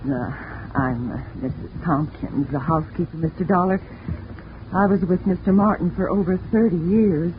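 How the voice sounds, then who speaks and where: A woman acting a prim, fussy older housekeeper in a radio drama, speaking hesitantly in halting bits. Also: fairly low pitch, close to an everyday voice. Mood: flustered. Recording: tinny old radio broadcast with hiss.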